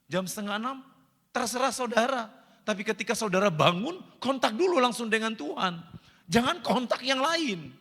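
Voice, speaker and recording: A man's voice: high-pitched, gravelly, bright, forceful tone. A man preaching a sermon as pastor, speaking straight to the congregation, repeating his points and pushing certain words hard. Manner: repeats phrases, addresses congregation directly, emphatic rises. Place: church hall. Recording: long church-hall reverb.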